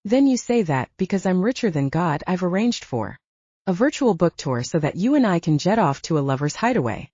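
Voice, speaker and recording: A confident, wealthy romantic hero voiced by a female narrator, persuasive and earnest with a teasing edge. The delivery is lively and mellow, heard on a dry, slightly dull recording. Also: on the low side, a little fast, no echo. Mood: playful, persuasive. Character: rich, confident man, romantic and teasing, conflicted. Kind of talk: audiobook dialogue acting.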